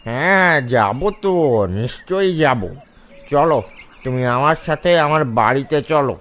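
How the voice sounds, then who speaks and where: A man plays an agreeable cartoon fox with a somewhat high male voice. He sounds eager and reassuring, as in a children's animal story. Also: moderate pace, a bit bright, natural voice. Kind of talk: cartoon voice acting.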